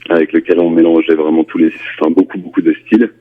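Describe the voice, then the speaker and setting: A man's voice: sits low, level tone, fast. A man in his forties, a singer being interviewed, speaking hesitantly with many fillers and restarts. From France. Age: 40s. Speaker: reggae and dancehall singer, guest. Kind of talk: radio interview. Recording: phone-like narrow band.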